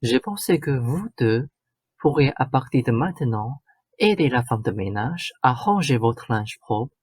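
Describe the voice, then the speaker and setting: A man's voice: medium pitch, expressive, unhurried, slightly rough, no echo. A man playing a bossy, short-tempered stepmother in a radio drama, curt and lecturing. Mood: bossy, formal. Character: domineering, short-tempered stepmother laying down the law. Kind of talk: stage-like radio drama acting.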